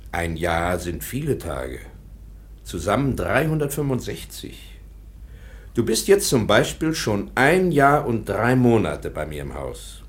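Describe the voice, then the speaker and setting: A gentle patient professor. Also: male voice, lowish voice. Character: kind patient professor and teacher. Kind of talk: radio play acting.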